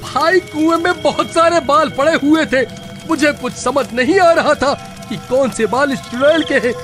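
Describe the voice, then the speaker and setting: Man voicing a high-pitched panicky village coward. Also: normal speed, over music. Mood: bickering. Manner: excitable, whining, scolding. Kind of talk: cartoon horror-comedy voice acting.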